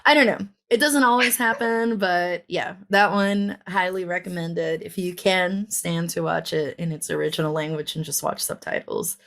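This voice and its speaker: A woman with a lowish voice co-hosts a podcast in relaxed chat. She rambles along, filling her sentences with ums and likes as she goes. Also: normal speed, gravelly, few pauses. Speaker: podcast co-host. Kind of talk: casual chat.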